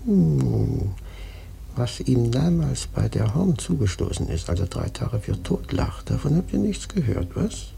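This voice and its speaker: An actor playing a ragged, half-mad sailor prophet in a radio play, raspy and creaky, taunting and cryptic. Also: male voice, mid-range voice, sing-song, unhurried, mellow, slight background noise, full character voice. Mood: bitter, agitated. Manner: taunting, cryptic, erratic muttering. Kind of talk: German radio play acting.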